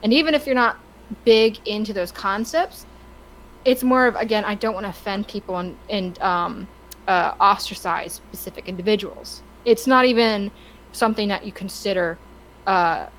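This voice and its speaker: A woman's voice: mid-range voice, moderate pace, fairly bright tone, slight background noise, poor audio. A woman chatting casually and giving her opinions on a podcast, stammering and restarting her sentences often. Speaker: podcast co-host.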